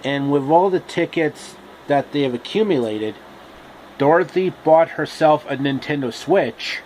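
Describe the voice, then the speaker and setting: Slow, halting man telling a story. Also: a little high, lively intonation, a little mellow, slight background noise, poor audio. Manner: halting, pausing mid-sentence. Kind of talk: casual comic story narration.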